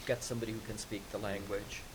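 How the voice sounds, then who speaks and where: A podcast guest, a man whose first language is Persian, explains things at a measured pace with frequent ums. His voice sits a little low. A clear hiss runs under the recording. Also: little pitch movement. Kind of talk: conversational interview.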